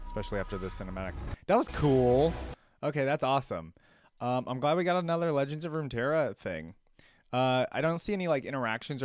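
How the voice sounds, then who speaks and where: A man giving casual gaming reaction commentary, thinking aloud in a halting way. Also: medium pitch, no room sound, clean studio sound. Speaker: reaction video host.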